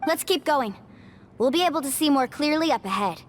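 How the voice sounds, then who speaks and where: A woman's voice: sits high, animated, a little fast, noisy background. An actress playing a confident, upbeat young woman guide in a video game, leading and reassuring her companions, with game music underneath. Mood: encouraging. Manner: bright, reassuring, leads others on.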